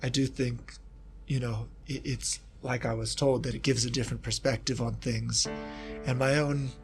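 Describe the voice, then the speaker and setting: A man from Kentucky, a historian of East Asia, talking about his research in a relaxed interview, with many asides and restarts. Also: mid-range voice, normal speed, gravelly, faint music behind. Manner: thoughtful, rambling with asides and restarts. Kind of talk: casual reflective interview talk.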